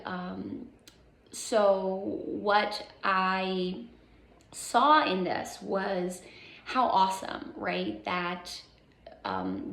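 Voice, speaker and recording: A woman whose first language is Portuguese, explaining things informally as a homeschooling mom on a vlog, halting and reflective, often checking in with a questioning tag. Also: medium pitch. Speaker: homeschooling mother and video host. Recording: echoey room with faint background noise.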